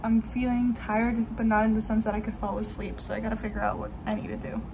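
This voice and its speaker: A woman's voice: on the high side, level tone, fast, gravelly, music in the background. A woman in her twenties chatting casually to her phone camera in a small apartment, rambling with lots of fillers. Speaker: vlogger. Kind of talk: casual vlog chat to camera. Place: small apartment room, indoors. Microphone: phone camera held at arm's length. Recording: noisy room with air conditioner hum. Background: air conditioner running.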